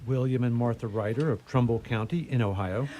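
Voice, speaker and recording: A man playing a polite but cheeky restaurant waiter in a comic radio play, with a deadpan delivery. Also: medium pitch, fairly quick, natural voice. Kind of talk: comic radio theatre acting.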